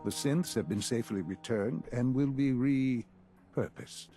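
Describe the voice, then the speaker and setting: A man playing an elderly scientist leader in a video game, paternal yet detached, speaking in measured, formal, halting phrases. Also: medium pitch, mellow, lightly acted. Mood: formal approval. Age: older. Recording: music behind the voice.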